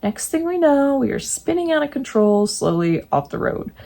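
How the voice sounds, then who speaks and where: A woman reading true ghost stories aloud, breaking in now and then with jokey, animated asides. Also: high-pitched, moderate pace, creaky. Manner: animated, jokey asides between read passages.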